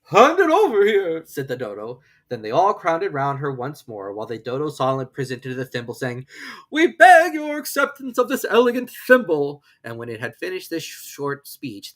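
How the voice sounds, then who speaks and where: Low-pitched, very animated woman storyteller. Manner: expressive storytelling. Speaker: audiobook narrator. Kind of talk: scripted children's storybook narration.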